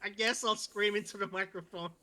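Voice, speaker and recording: A man's voice: high voice, steady tone, brisk, sharp tone. A man chatting casually as a guest voice actor, often repeating phrases as he stammers along. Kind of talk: casual chat.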